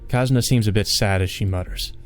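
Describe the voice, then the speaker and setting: Low-voiced man reading a visual novel aloud. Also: fast talker. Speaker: let's play host. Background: game music.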